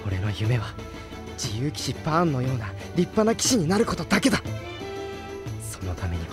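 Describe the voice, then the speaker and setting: A man's voice: medium pitch, wildly swooping pitch, normal speed, music in the background. An actor playing a determined, proud young man training to be a knight in an anime audio drama. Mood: bitter resolve.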